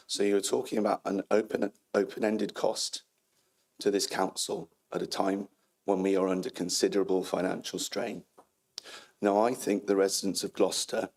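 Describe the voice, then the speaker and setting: A man speaks at a council meeting in a hoarse voice that is fading on him. His pitch sits very low and barely moves, with a gravelly fry running through it. He is firm and measured as he answers. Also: a little mellow. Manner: firm, measured, ends dismissive. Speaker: council cabinet member.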